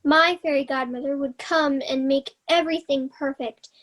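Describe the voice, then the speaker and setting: Romantic young heroine played by a woman, high light voice, eager and dreamy, children's stage play. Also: steady tone, moderate pace, fairly bright tone. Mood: wistful. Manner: earnest, wistful. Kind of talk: staged reading of children's theater.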